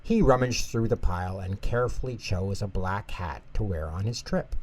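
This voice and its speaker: A man narrating a children's bedtime story in an expressive, unhurried way. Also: on the low side.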